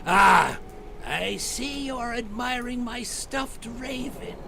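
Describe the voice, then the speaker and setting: An actor playing an eccentric mad-scientist museum keeper, hammy and macabre, hosting an old-time radio horror show. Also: male voice, extremely high pitch, takes it slowly, full character voice. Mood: gleefully eccentric. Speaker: horror host and narrator. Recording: clear background noise.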